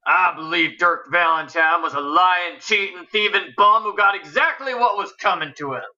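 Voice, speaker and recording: Woman doing a gruff, scornful cowboy. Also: lowish voice, normal speed, pushed and bright, highs cut off. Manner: growling, blunt.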